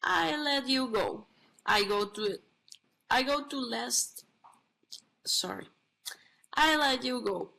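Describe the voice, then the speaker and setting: Creaky, bright woman as a blasé divine attendant. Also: a little high, exaggerated voice, low-quality recording. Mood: matter-of-fact. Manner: unhurried, halting, self-satisfied, broken phrasing. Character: God as a blasé, boastful steam bath attendant.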